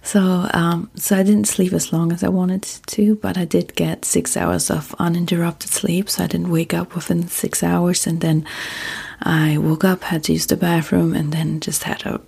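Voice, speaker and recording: A woman whose first language is German, talking softly and partly in a whisper, with frequent ums, as host of a sleep podcast. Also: sits low, gravelly, breathy edge. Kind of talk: soft-spoken casual monologue.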